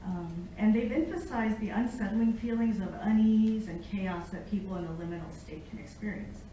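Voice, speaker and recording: A middle-aged American woman speaks to a room in an informal lecture. Her delivery is conversational, with a medium pitch, and she is picked up from a distance in a very echoey space with faint noise. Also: moderate pace, dark tone. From United States, born in Japan. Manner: conversational, engages the audience with questions. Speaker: academic guest speaker, Latin researcher. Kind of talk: informal public lecture with personal storytelling.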